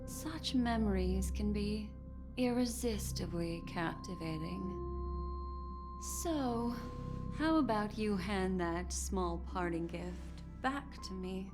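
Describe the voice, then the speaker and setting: An enigmatic, seductive woman of a fantasy video game, speaks slowly and with poise. Her phrasing is coy and theatrical, lingering on words in a medium-pitched voice. Music plays behind her. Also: lively intonation. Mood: coy, intrigued. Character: enigmatic, teasing, seductive woman who collects memories. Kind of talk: scripted video game character dialogue. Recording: clean voice over game music.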